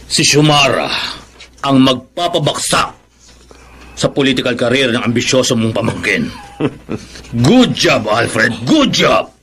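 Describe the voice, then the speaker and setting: A man's voice: medium pitch, big pitch swings, slow, long pauses, fairly bright tone, slight background noise, full character voice. A scheming old Filipino grandfather. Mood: gloating. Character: scheming old grandfather, power-hungry political patriarch. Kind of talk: Filipino radio drama acting.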